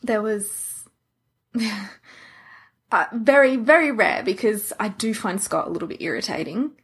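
A woman's voice: mid-range voice, lively intonation, measured pace, a bit bright, slightly rough. A woman co-hosting a podcast, talking in a casual, chatty fan style. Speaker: podcast co-host.

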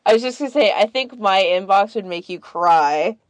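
A woman's voice: medium pitch, lively intonation, normal speed, no room sound. A woman co-hosting a casual podcast, joking at her own expense. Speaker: podcast host.

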